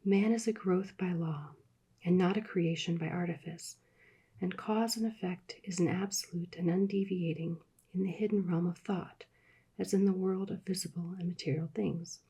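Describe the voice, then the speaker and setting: A woman reads in a soft-spoken ASMR hush, slow and soothing, slipping now and then into whispers. Her voice is very breathy with a gravelly fry, and its tone is dark and mellow. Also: on the low side, fairly even intonation. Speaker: ASMR reader.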